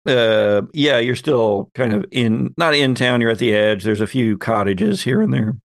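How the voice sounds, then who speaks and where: A man runs a tabletop role-playing game. He narrates off the cuff in descriptive detail, in a mid-pitched voice. The sound is close and dry. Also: expressive, measured pace, no room sound. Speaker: game master, host.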